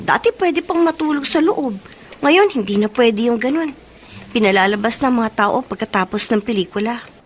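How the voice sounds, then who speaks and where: An actress playing a bored, sulky young university student in a radio drama monologue. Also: female voice, mid-range voice, slight background noise, lightly acted. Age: young adult. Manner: sulky, complaining.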